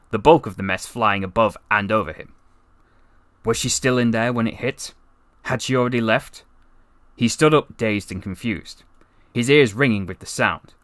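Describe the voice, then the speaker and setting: A man reading a story as a voice actor, in a solemn, dramatic and deliberate narrating style. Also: fairly low pitch, a bit bright, dry recording.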